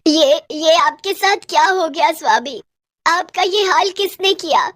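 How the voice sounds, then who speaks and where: A woman's voice: very high-pitched, a bit bright, no echo, voice pushed up. A woman voicing a devoted, plaintive elderly village wife in a cartoon ghost story, stretching out her pleas. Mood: alarmed.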